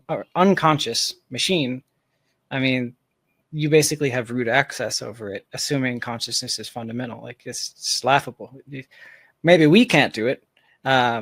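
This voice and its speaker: A man's voice: mid-range voice, no echo. A man speaking as an interview guest in a casual, speculative discussion, reasoning aloud with hedges and long asides. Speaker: podcast guest, tech founder.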